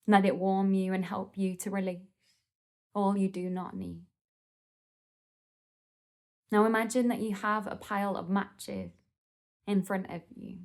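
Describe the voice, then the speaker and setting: A woman leading a guided meditation in a gentle, soothing, unhurried manner with pauses between phrases. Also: medium pitch, level tone.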